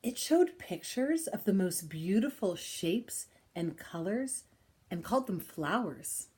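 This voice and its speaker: A woman reading a children's picture book aloud in an expressive style, with deliberate, dramatic phrasing and pauses. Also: medium pitch. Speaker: storybook reader.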